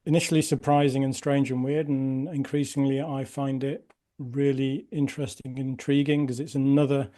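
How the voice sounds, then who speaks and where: A man musing aloud in a measured way during a podcast conversation. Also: mid-range voice, flat delivery, mellow. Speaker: podcast co-host.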